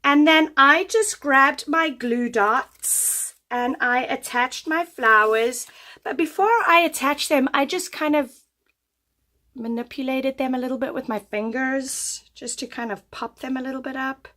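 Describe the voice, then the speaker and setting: A woman's voice: high voice, measured pace, pushed and bright. A woman demonstrating a paper craft who is losing her voice, giving chatty step-by-step instructions at her craft table. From United States. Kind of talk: craft tutorial.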